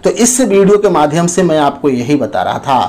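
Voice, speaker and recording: A man's voice: a little high, lively intonation, moderate pace, darkish tone. A man hosting an informal step-by-step app tutorial, instructing viewers and addressing them as friends. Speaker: tutorial host.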